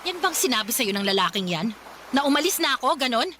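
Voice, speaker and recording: A woman's voice: sits high, big pitch swings, fast talker, bright, forceful tone, slight background noise, full character voice. A woman playing a fiery, confrontational betrayed girlfriend in a melodramatic radio drama, scolding shrilly and emphatically. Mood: indignant. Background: background music.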